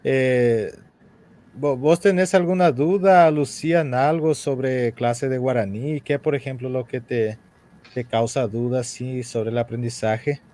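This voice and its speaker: A man's voice: medium pitch, steady tone, measured pace, a little mellow. A man from Paraguay hosting a live language class over a video call, chatting in a friendly, rambling way with many fillers. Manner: switches between Spanish and Portuguese, friendly, many fillers.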